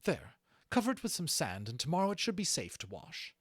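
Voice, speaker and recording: A man voicing a practical female military captain in an audiobook, giving brisk, polite orders. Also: a little high, huge pitch swings, husky, no room sound, clean studio sound, voice pushed up, heavily acted. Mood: brisk, relieved.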